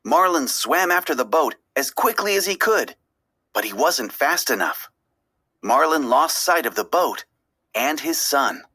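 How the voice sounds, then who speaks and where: A woman reading a children's story aloud as an expressive, dramatic narrator. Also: low voice, measured pace, husky. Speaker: storybook reader.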